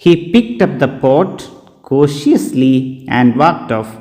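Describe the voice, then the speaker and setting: A man's voice: fairly high pitch, very animated, unhurried, mellow. A man from India with an Indian accent reads a short story aloud in a dramatic scripted narration. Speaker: story reader. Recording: echoey, long reverberant room sound.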